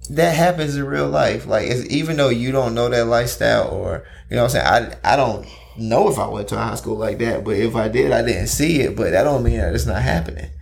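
A man co-hosting a casual chat, talking in an animated, ranting, slang-heavy way. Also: medium pitch, creaky. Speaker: podcast co-host.

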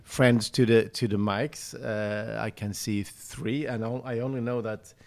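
A man hosting an interview, asking questions in a halting way with frequent restarts. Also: fairly low pitch, steady tone, vocal fry. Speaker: host. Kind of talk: casual interview.

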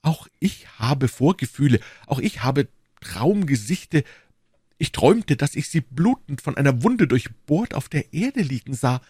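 A man's voice: mid-range voice, big pitch swings, unhurried, a little mellow, husky, dry recording, studio quality, voice pushed up. A man voicing an anguished, torn queen, proud yet secretly in love, with an imploring, agitated, regal manner. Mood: troubled.

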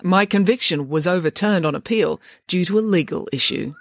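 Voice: mid-range voice, moderate pace, creaky, no echo, pitched above the performer's own voice, close to an everyday voice. A reader voicing a smug, condescending grown man, a charming con artist. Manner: condescending and self-satisfied.

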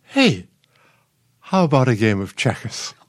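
An actor in a radio play performing a weary, patient older husband, placating and pained. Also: male voice, medium pitch, sing-song, unhurried. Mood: resigned. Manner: placating, pained, long-suffering.

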